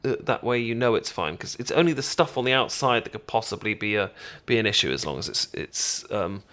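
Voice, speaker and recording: A British man acting out an eager, friendly best friend in a casual ASMR roleplay, with a halting, stammering, rambling way of talking. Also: fairly low pitch, expressive, a bit bright. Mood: reassuring. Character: friendly, eager young male best friend teaching sushi-making.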